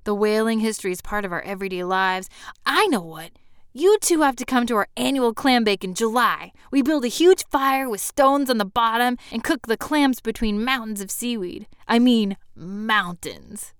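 A woman's voice: on the high side, moderate pace, clear, resonant tone, dry recording, studio quality, voice pushed up, close to an everyday voice. Woman voicing a boisterous, folksy conservative man. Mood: enthusiastic. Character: jovial, pushy conservative man, a chatty host. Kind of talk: audiobook character dialogue.

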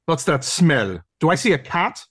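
An actor voicing a bossy, pompous dog instructor in an audio play, with an imperious, declamatory delivery. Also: male voice, on the high side, very animated, moderate pace, a bit bright, breathy edge, no room sound, clean studio sound, full character voice. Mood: irritated, commanding. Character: bossy, pompous German Shepherd masterclass instructor, male. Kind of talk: theatrical audio drama acting.

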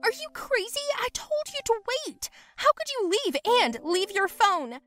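A woman voicing a frantic, scolding teenage girl in a story, shrill and agitated. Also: very animated, moderate pace, fairly bright tone, soft background music, exaggerated voice.

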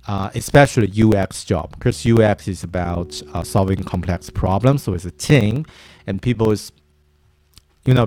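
A man whose first language is Chinese, giving career advice to design learners in a slow, halting way with frequent restarts. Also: lowish voice, darkish tone. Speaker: design mentor. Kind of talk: advice talk to camera.

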